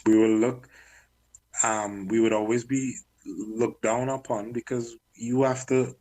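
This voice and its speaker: A man working as a music manager, chatting candidly in an interview and often clearing his throat. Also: medium pitch, little pitch movement, slow, dark tone, low-quality recording. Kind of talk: casual interview talk.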